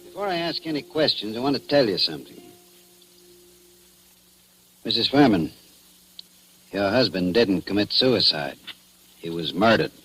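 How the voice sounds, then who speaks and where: An actor playing a shrewd, dry, folksy small-town police chief in a 1940s radio crime drama. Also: male voice, on the low side, very animated, normal speed, pauses often, fairly bright tone, soft background music. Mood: dry, probing. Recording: muffled vintage 1940s radio recording.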